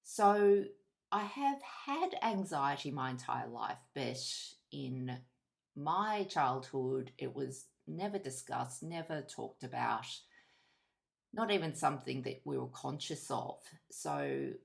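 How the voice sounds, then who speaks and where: Aussie woman in her 50s, reflective podcast host talking solo, really slow with lots of vocal fry. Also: very deep voice, big pitch swings. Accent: Australian. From Australia. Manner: candid, measured, pausing between short phrases. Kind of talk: reflective personal monologue.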